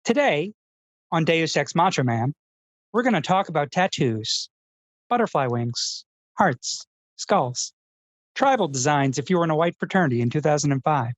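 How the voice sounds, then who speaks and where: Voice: mid-range voice, expressive, normal speed, close to an everyday voice. A performer playing an earnest, self-serious male public radio host who speaks in a pensive, pompous, awkwardly polite way, as in a scripted feature parody.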